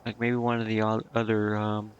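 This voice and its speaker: A man's voice: on the low side, completely flat delivery, moderate pace, dull audio, slight background noise. A man doing casual video game commentary as a streamer, thinking aloud in a rambling, hesitant way. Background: game music.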